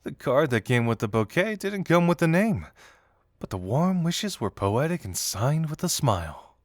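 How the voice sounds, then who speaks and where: Casting: male actor as teasing young detective confidant for audio roleplay, animated intonation, amused conversational delivery, dry close sound. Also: a little high, moderate pace, no room sound. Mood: musing, playful. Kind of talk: intimate scripted audio roleplay addressed to the listener.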